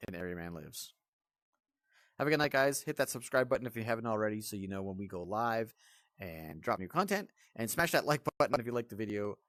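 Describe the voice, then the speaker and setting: A man's voice: on the low side, no echo. A man giving a casual, off-the-cuff game review as the channel's host, in a blunt, grumbling, exasperated manner.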